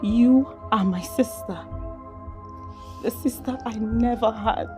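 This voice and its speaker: Slow, high-voiced Nigerian sisterly friend. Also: female voice, faint music behind. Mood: soothing, pleading. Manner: consoling, imploring. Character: caring sisterly friend, soothing, gently urging. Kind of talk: screen drama acting.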